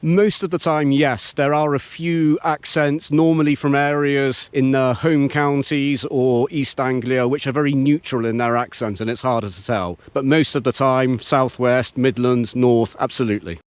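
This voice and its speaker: A man's voice: medium pitch, steady tone, normal speed, hardly any pauses, clean tone, dry recording. Articulate English man, received pronunciation. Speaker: interviewee. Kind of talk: street interview.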